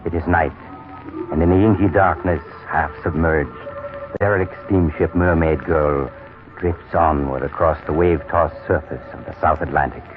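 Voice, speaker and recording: An actor playing a sinister, cold-blooded doctor who narrates horror tales in an ominous, deliberate 1940s radio style. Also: male voice, very deep voice, steady tone, over music. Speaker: horror show host. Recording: tinny vintage radio, narrow band.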